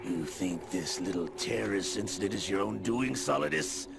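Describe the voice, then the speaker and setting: An actor playing a sly older schemer in a video game cutscene, gravelly, smug and condescending. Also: male voice, fairly low pitch, expressive, normal speed. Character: sly older male schemer, smugly condescending puppet master. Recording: music behind the dialogue.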